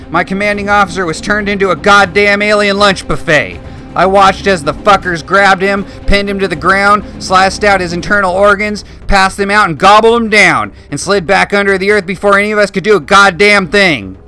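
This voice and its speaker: Man doing a brutal drill instructor, shouting and profane, forceful and bright, faint music behind. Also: sits high, pitched above the performer's own voice. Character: brutal foul-mouthed male drill sergeant.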